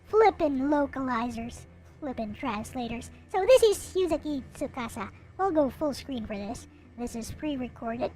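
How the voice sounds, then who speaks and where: High-pitched woman in casual show-and-tell, music behind. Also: lively intonation, moderate pace. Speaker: streamer showing collectible anime figures.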